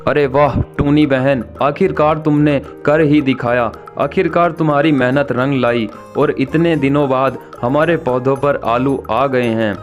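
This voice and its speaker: The kindly farmer bird of a children's cartoon played by a man, earnestly thanking his little sister over soft background music. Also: mid-range voice, steady tone, unhurried, few pauses, close to an everyday voice. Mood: grateful, determined. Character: good-natured, grateful male bird, big brother farmer. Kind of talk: animated children's story dialogue.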